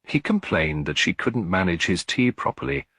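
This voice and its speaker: A man's voice: low-pitched, very animated, moderate pace, fairly bright tone, no echo, studio quality, exaggerated voice. A man voicing a tired, strained middle-aged widow in an audiobook, cool, guarded and composed. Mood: strained, grieving. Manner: clear but weary, composed. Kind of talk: audiobook character dialogue.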